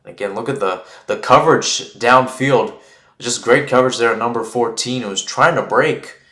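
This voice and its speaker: A man giving live play-by-play football commentary in a halting way, often repeating his phrases. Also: mid-range voice, bright, forceful tone. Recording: roomy, echoing sound.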